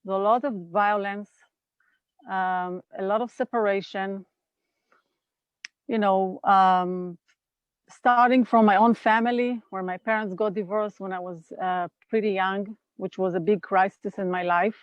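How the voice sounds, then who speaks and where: A woman in her fifties with an Israeli accent talks slowly and reflectively, pausing to gather her thoughts. Her voice sits at an average pitch and comes through dry with no room sound. The video call audio is slightly muffled. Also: steady tone, clear, resonant tone, no room sound. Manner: thoughtful, unhurried, with pauses and fillers. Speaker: guest, NVC trainer. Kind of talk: reflective interview talk. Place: home in California.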